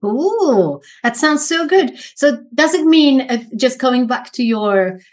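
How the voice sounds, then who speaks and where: Woman, podcast host, enthusiastic, rambling questions, somewhat high pitch. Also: expressive, takes it slowly. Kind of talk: casual interview hosting.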